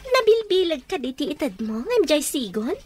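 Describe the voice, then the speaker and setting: A conniving young wife in a radio drama, played by an actress. Her female voice sits very high and swings widely in pitch as she schemes against her older husband. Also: big pitch swings, normal speed, a little mellow. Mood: scheming.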